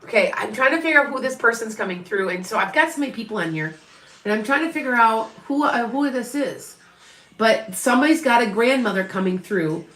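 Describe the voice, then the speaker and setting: A woman's voice: fairly high pitch, expressive, a little fast, fairly bright tone, reverberant. A middle-aged woman from Wisconsin with an Upper Midwest accent, a psychic medium rambling through a live reading and chatting with her viewers. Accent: Upper Midwest, northwest of Chicago. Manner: rambling, chatty, many restarts and asides.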